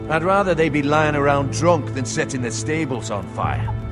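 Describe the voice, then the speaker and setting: An actor playing a practical household retainer in a fantasy game, dry and pragmatic, with music underneath. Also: male voice, on the high side, big pitch swings, normal speed. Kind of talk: dramatic video game acting.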